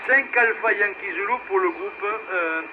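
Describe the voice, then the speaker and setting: A man running an amateur radio net in a casual, halting way, heard over a two-way radio. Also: sits high. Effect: two-way radio transmission. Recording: noisy narrowband ham radio relay.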